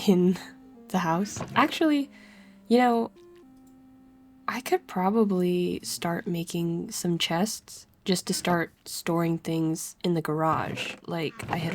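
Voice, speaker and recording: A woman with a stuffy, congested voice from a cold, chatting casually through her gameplay and laughing softly between thoughts. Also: fairly low pitch. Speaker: let's play host.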